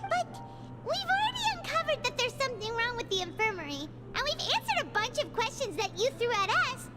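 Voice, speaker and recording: Tiny squeaky fairy kid, super bouncy and nosy, game voice with music under it. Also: female voice, very animated, brisk. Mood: curious, insistent. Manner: speaks of herself in third person. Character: small childlike floating fairy companion, excitable and nosy. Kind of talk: animated video game character acting.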